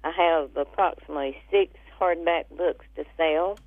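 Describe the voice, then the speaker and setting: A woman calling a radio show, casually listing things in a halting way over the phone. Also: low-pitched, no echo. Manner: halting, pausing between items. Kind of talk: casual listing of items and numbers. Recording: narrow phone line on radio.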